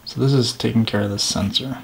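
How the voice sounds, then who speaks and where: A man's voice: medium pitch, steady tone, brisk, gravelly, slight background noise. A man hosting a DIY repair tutorial, explaining each step as he goes. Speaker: video host.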